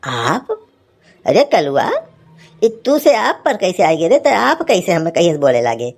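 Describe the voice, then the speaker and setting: A woman's voice: fairly low pitch, big pitch swings, a little slow, a little mellow, exaggerated voice. A woman voicing a grumbling, teasing village husband in a cartoon comedy, with an Awadhi accent and a folksy, scolding manner. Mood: exasperated.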